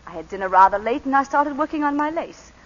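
Breathy scared planter's wife, pitch jumping all over, dull old radio sound. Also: female voice, expressive. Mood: composed. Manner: nervous, halting, then composed storytelling. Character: tense, frightened planter's wife, adult woman. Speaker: lead actress in radio drama. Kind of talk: 1940s radio acting.

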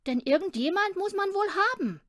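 A woman voicing an eager, stubborn little boy in a storybook, emphatic and excitable. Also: extremely high pitch, fairly quick, voice pushed up. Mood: earnest.

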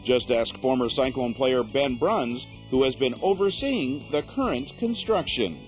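A man's voice: medium pitch, lively intonation, unhurried. A man narrating a scripted sports feature in a dramatic, sweeping way. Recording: voice-over with music.

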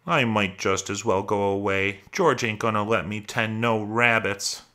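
A man acts out a big, slow-witted fellow from a storybook with a bright, forceful voice. He talks in plain rustic phrases, childlike and anxious, forever pleading his case. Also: lowish voice. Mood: sad, pleading. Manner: plain rustic ungrammatical talk.

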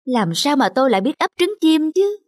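A woman's voice: sits high, big pitch swings, a little fast, a little mellow, no echo. A woman voicing an anxious male cat in a cartoon, an earnest, worried foster parent. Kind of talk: cartoon character acting.

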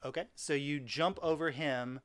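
A man's voice: fairly high pitch, moderate pace, fairly bright tone, smooth. A man in his thirties runs a tabletop game from a headset over a video call. He rambles through the scene, stacking fillers and restarting his sentences as he narrates. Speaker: dungeon master. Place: small home room.

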